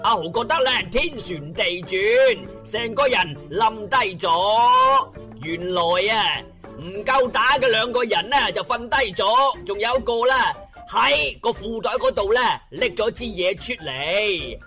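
A man with a Cantonese accent narrating a comic internet radio drama in a theatrical, colloquial storytelling style with drawn-out words. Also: sits high, animated, bright, forceful tone, faint music behind.

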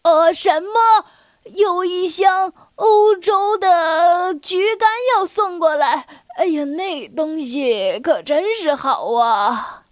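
A woman's voice: fairly high pitch, sing-song, very slow, dry recording, clean studio sound, voice pushed down, heavily acted. A woman voicing an old, hard-of-hearing male circus bear who drawls and mumbles as if mishearing, in a storybook reading. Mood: muddled.

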